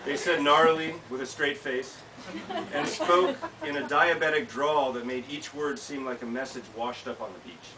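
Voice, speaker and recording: A man's voice: medium pitch, steady tone, moderate pace, a crowd in the background. An American man in his thirties, an author giving a live reading of his personal essay in a small indoor bookshop. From United States. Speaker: author reading from own work. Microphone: camera several feet away. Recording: distant mic, hissy background noise.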